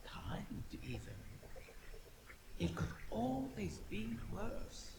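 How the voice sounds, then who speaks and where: An actress voicing a sly, ancient captive dragon who grants wishes, silky and mocking, with a creature reverb effect in a video game. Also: female voice, mid-range voice, big pitch swings, in a whisper, heavily acted. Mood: sly, taunting. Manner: slow, silky, theatrical, taunting. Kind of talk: video game cutscene acting. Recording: long reverb, noisy ambience.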